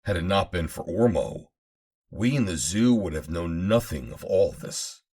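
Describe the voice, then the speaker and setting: A man reading an adventure novel aloud as an audiobook narrator, in a measured, formal storytelling manner. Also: deep voice, animated, darkish tone, husky. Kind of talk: dramatic scripted narration of an old adventure novel.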